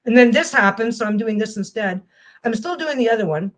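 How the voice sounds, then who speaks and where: A woman's voice: fairly high pitch, animated, a little fast, poor audio. A woman vlogging casually as she sews a quilt panel at home, rambling and often restarting her phrases. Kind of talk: casual chat while sewing.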